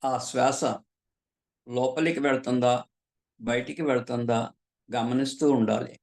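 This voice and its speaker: Male meditation guide, gravelly medium register, short instructional phrases delivered calmly with generous pauses. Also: medium pitch. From southern India. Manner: calm, unhurried, with frequent pauses between short phrases. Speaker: meditation teacher.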